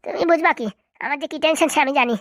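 A woman's voice: high-pitched, quick pace, no room sound, clean studio sound, lightly acted. A woman voicing a whiny, cheeky young son in a comic cartoon, complaining petulantly.

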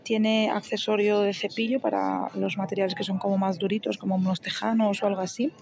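A woman's voice: medium pitch, level tone, a little fast, vocal fry, crowd noise. A young woman from chatting casually through a shopping haul, often checking in with her viewers and correcting herself as she goes. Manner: chatty, self-correcting, frequent "¿vale?" check-ins. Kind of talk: casual product walkthrough.

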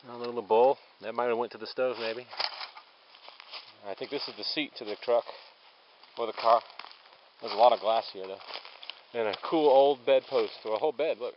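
A man's voice: mid-range voice, normal speed, pauses often. A man vlogging a long-distance hike, narrating casually and thinking aloud as he walks outdoors. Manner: rambling, easygoing, thinking aloud. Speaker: thru-hiker vlogger. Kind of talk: casual handheld trail narration. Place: outdoors on a forest trail. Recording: dull lo-fi camera audio, faint outdoor noise.

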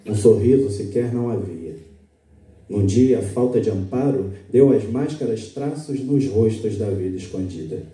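Mellow-voiced man reading poetry in a hall. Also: lowish voice, normal speed. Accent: Brazilian Portuguese. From Brazil. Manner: relaxed, talks with many fillers, then recites steadily. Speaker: poet. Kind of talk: poetry reading at a live open mic. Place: small auditorium stage, indoors. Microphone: stand mic, recorded by a far camera. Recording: echoey auditorium, far from the mic.

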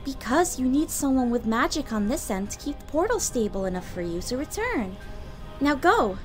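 A woman's voice: sits high, big pitch swings, moderate pace, faint music behind. A woman voicing an earnest, bookish young female magical pony in a cartoon comic dub, speaking precisely and urgently. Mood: businesslike.

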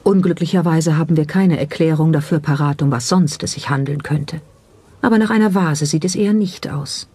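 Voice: mid-range voice, fairly quick, warm tone, slightly rough. A narrator reading scripted documentary commentary in German voice-over style. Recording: voice over background music. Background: background music.

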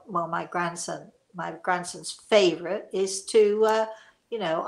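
A woman's voice: mid-range voice, vocal fry. A woman in her sixties chatting casually as host of her own show, rambling and effusive as she drifts off on tangents. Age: 60s. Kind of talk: casual chat. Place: living room, indoors.

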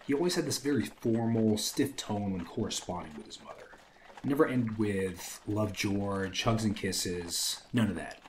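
Raspy young man narrating history. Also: lowish voice, normal speed, a little hiss or hum. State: recovering from illness, slightly hoarse. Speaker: history channel host.

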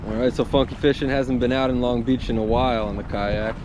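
A young man chatting casually and excitably as host of an outdoor fishing vlog. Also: mid-range voice, brisk. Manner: excitable, whooping, laughing. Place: outdoors on a kayak on open water. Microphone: action camera mounted on kayak. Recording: noisy wind and water on action camera.

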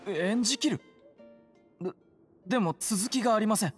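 A woman's voice: mid-range voice, normal speed, natural voice. A woman voicing an earnest, timid phantom hunter in a Japanese game, hesitant, polite and formally apologetic. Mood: hesitant, flustered. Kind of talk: Japanese anime game voice acting. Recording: music behind the voice. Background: game music.

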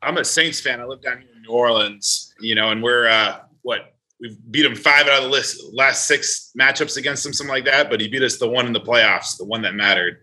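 A man's voice: medium pitch, a little fast, sharp tone, low-quality recording. A man from New Jersey with an American accent, telling casual anecdotes as a podcast guest. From New Jersey, United States.

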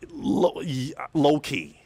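A young man playing a cheesy over-the-top talk show host in a dating show, goofy and deadpan. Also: a little high, very slow, creaky, heavily acted. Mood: playful. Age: young adult. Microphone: handheld mic.

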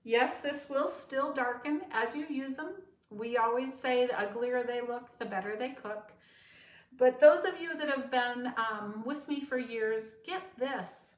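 A woman's voice: fairly high pitch, a little slow. A woman in a home kitchen, chatting upbeat to followers as she demonstrates cooking, with frequent ums. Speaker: cooking show host and sales consultant. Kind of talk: casual demo talk to followers. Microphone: far camera. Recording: echoey kitchen, mic at a distance.

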